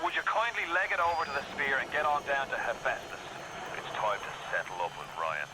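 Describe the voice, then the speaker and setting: A man's voice: mid-range voice, huge pitch swings, a little fast, very noisy. An actor playing a folksy, hard-bitten male conspirator in a video game, talking in an urgent, colloquial way. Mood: impatient. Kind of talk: video game character acting.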